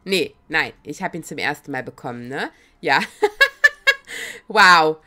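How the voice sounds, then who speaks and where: A woman with a cold chats her way through a video game on stream, excitable and giggly. Her slightly raspy voice is bright and lively, jumping up and down in pitch. She sounds close to a desk microphone. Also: unhurried, faint music behind. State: has a cold. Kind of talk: casual let's-play commentary.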